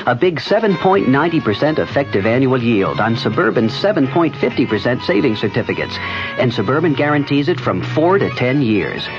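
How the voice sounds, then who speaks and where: A man's voice: mid-range voice, very animated, fairly quick. A man reading a scripted 1970s radio advertisement as a commercial announcer. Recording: dull 1970s radio recording with music.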